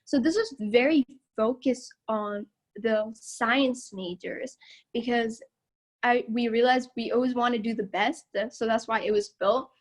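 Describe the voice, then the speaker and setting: A high-pitched young woman speaking from home, guiding listeners through a presentation with a chatty, upbeat delivery. Her voice carries loudly, and she breaks into laughter often between points. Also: a little slow. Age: young adult. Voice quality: loud voice. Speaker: student body president giving a campus tour. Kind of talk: casual narrated slide tour.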